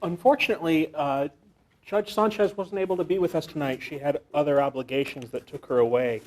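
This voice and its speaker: A man's voice: on the high side, fairly quick, darkish tone. A man acting as moderator makes a formal announcement at a lecture event.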